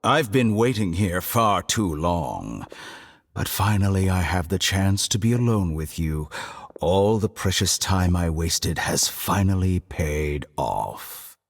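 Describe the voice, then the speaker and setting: A man playing a smug, menacing scientist villain in a video game, speaking in a theatrical, condescending, deliberate way. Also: low voice, big pitch swings, heavily acted. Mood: smug, sinister. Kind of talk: English video game voice acting.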